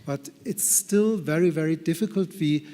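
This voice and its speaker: A man with a somewhat high voice responds to questions at a conference. He speaks earnestly and deliberately, weighing his phrasing, and his voice carries the long reverberation of a large hall. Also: mellow. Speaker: plenary speaker answering audience questions. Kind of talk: conference question-and-answer discussion.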